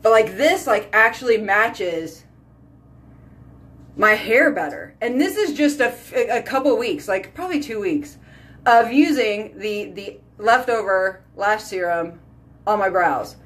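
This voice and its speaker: A woman's voice: mid-range voice, a little slow. Chatty woman in her thirties, y'all-heavy. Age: 30s. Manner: chatty, animated, lots of "like" and "y'all". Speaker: beauty vlogger. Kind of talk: casual chat to camera. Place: small bedroom or bathroom at home. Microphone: phone camera at arm's length.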